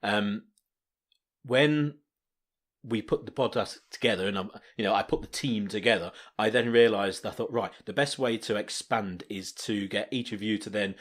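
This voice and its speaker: Man, low-pitched, rambling jokey podcast host over drinks. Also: moderate pace. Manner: rambling, self-interrupting, jokey. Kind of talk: casual pub-style chat.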